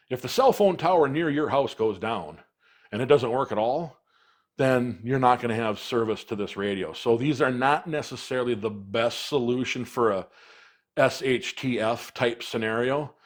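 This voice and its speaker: American man casually explaining gear. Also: medium pitch. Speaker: product reviewer.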